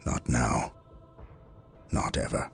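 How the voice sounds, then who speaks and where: An actor playing a grave, zealous space marine captain with a solemn, declamatory way of speaking in a game cutscene. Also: male voice, slow pace, faint music behind, lightly acted. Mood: grim resolve. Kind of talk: dramatic video game cutscene acting.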